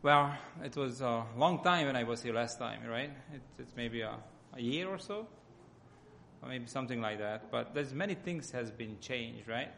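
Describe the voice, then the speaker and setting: A man with a Hungarian accent gives an informal sermon as a lay church speaker, often halting as he searches for words. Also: medium pitch, lively intonation, creaky, fairly bright tone, slight background noise.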